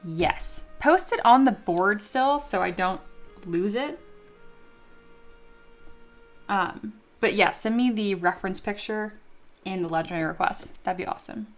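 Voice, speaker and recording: A young woman streaming live and chatting with viewers in a bubbly, excitable way, laughing often and reading chat messages aloud. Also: medium pitch, moderate pace, soft background music. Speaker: streamer, 3D sculptor. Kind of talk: casual livestream chat with viewers.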